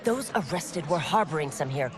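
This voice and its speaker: An actress playing a defiant, dutiful city constable in a fantasy game, speaking formally and firmly. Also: female voice, low-pitched, expressive, normal speed, music in the background. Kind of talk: cinematic fantasy game acting.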